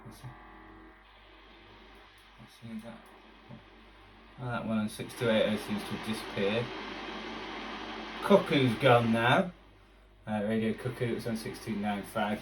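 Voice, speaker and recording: A man's voice: fairly low pitch, steady tone, unhurried, pauses often, noisy background. A man giving casual, unscripted commentary as a radio hobbyist, rambling hesitantly and thinking aloud while he tunes. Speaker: shortwave radio hobbyist presenter. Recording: distant mic with radio audio behind.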